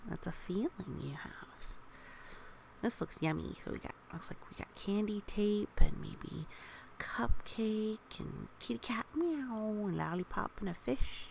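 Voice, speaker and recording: A woman reading a picture book aloud for young children, with gentle, expressive, chatty asides and questions. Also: medium pitch, a little slow, gravelly, whispered, poor audio.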